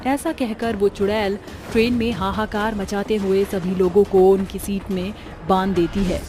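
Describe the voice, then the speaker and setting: A woman's voice: mid-range voice, fairly even intonation, moderate pace, dark tone, soft background music. A woman narrating a scripted cartoon story as its storyteller.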